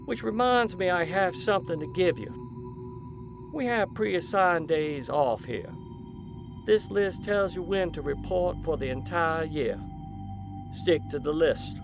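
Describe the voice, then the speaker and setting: Asylum doctor, male actor: controlling, ominous, formal, creaky voice on the higher side. Audio drama. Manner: formal, authoritative, deliberate.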